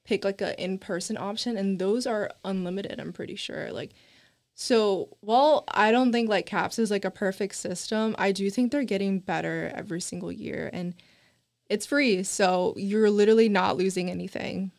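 A woman's voice: mid-range voice, normal speed, creaky, a little mellow. A woman in her twenties, a college student chatting casually on a panel, talkative and emphatic with frequent laughs. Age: 20s. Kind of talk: casual group chat.

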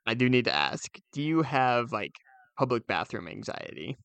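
A man co-hosting a podcast in casual, comedic chat, speaking in halting bursts with repeated phrases. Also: medium pitch, very animated, creaky, a bit bright, no echo. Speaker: podcast co-host.

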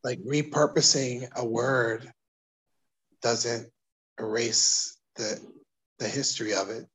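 Interview guest: New York man, husky mid-pitched voice, reflective and halting. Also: low-quality recording. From Brooklyn, New York. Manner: thoughtful, hesitant, with restarts and soft laughs. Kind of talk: casual interview conversation.

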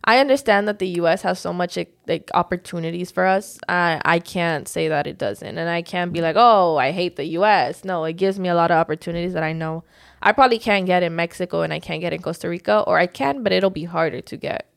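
A young woman talking casually as an interview guest, animated and quick to laugh, with many "likes". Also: lowish voice, vocal fry. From United States. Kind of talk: casual conversation.

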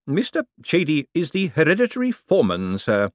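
A man's voice: on the high side, huge pitch swings, brisk, dry recording, clean studio sound, voice pushed up. A man voicing a fussy, prim male clerk who speaks in a hesitant, formal way.

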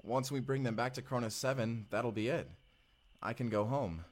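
A man's voice: mid-range voice, fairly even intonation, normal speed, natural voice. Man acting as earnest young time traveler. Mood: wistful. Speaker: voice actor in an audio roleplay. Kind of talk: first-person audio drama dialogue, spoken to the listener.

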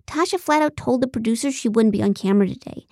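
A woman's voice: fairly high pitch, fast talker, warm tone, no echo, studio quality, natural voice. A woman voicing an outspoken, touchy young female castmate in an audiobook, blunt and emphatic. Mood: approving.

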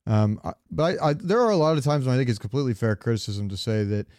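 A man's voice: mid-range voice, lively intonation, fast talker, a little mellow, dry recording. Rambling man with a dry, close sound. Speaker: podcast co-host. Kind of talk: casual chat about game voice acting.

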